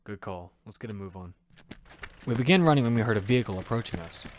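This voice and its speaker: A man's voice: lowish voice, expressive, quick pace, close to an everyday voice. A man playing a determined young fighter who narrates his own survival story in an amateur audio drama. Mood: casual, focused. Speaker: narrator and lead actor. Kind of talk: first-person narration of amateur audio fiction. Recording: clearly noisy amateur recording with clicks.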